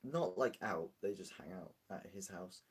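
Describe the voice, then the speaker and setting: A man's voice: low voice, lively intonation, normal speed, slightly rough. A British man streaming live, chatting casually and rambling as he answers viewer comments. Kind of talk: casual livestream chat.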